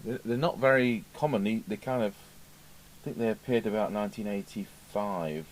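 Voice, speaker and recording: A middle-aged British man giving an informal, unscripted hands-on demonstration, musing aloud with hesitant pauses. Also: fairly low pitch. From United Kingdom. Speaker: radio repair technician. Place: indoor radio repair workbench. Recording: clearly noisy home workshop recording.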